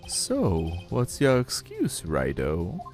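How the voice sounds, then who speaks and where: A man's voice: on the low side, huge pitch swings, slow pace, darkish tone, soft background music, exaggerated voice. A man doing a smug, swooping police chief. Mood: smug taunting. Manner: jovial taunting, theatrical. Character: smug, menacing older male police chief. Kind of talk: amateur voice acting of game dialogue.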